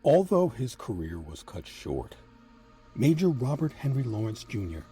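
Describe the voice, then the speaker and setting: A man hosting and narrating a scripted history piece with measured, emphatic phrasing. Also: medium pitch, warm tone. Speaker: host and narrator. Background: background music.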